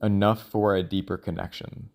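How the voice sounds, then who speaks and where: Man, lowish voice, casual rambling monologue, musing, halting, self-questioning. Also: fairly even intonation, vocal fry, a little mellow. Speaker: solo audio log creator.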